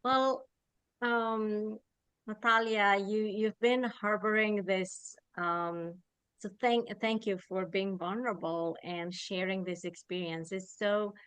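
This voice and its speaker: A woman in her forties with a Romanian accent, an executive coach answering in a podcast interview, halting and restarting phrases as she thinks. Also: mid-range voice, fairly even intonation, fairly bright tone, clean tone, dry recording, low-quality recording.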